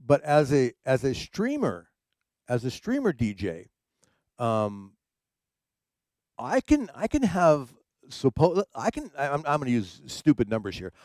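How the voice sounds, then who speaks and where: A man's voice: medium pitch, wildly swooping pitch, unhurried, pauses often, darkish tone, no room sound, clean studio sound. Rambling man hosting a livestream. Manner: rambling, many fillers and repeated words. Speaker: streaming DJ and show host. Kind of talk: casual livestream chat. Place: home studio.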